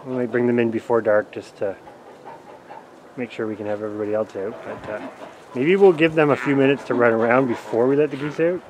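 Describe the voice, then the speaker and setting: A man filming on his farm pasture, chatting casually in a relaxed, amused way and chuckling at his animals. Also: medium pitch, brisk. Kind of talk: casual chat while filming. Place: outdoors on a farm pasture and barn. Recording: noisy outdoor camera audio. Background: farm animals and outdoor noise.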